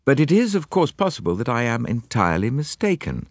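A man's voice: medium pitch, very animated, a little fast, no echo, studio quality. A man reading the letter of an anxious elderly woman, with a formal and cautious tone.

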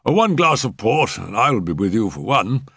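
A man voicing a pompous, genial eminent male doctor who lectures in a measured, emphatic way in a storybook reading. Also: on the low side, big pitch swings, fairly bright tone, breathy edge, dry recording, voice pushed up, exaggerated voice. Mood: jovial, courteous.

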